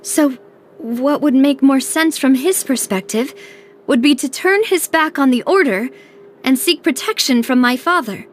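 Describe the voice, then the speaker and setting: A woman playing a poised, resolute young investigator in a video game, speaking in a deliberate, thoughtful way. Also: high voice, animated, slight background noise. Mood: pondering, analytical. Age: young adult.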